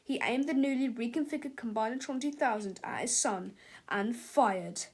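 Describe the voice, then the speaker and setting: A woman with a fairly high voice narrates a children's storybook. Her delivery is an expressive read-aloud, made for young listeners. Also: moderate pace, husky.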